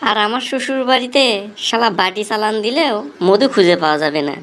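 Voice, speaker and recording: A woman's voice: a little high, animated, a little fast, slight background noise, lightly acted. A woman voicing a grumbling, sarcastic young son-in-law in a comic cartoon, with a rural Bangladeshi accent. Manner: sarcastic, complaining.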